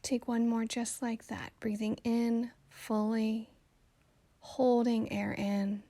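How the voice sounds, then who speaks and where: A woman guiding a meditation in a calm, soothing, gently instructive way, with soft phrases that trail toward a whisper. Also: fairly high pitch, slow, dry recording. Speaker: meditation guide. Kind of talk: slow guided meditation narration.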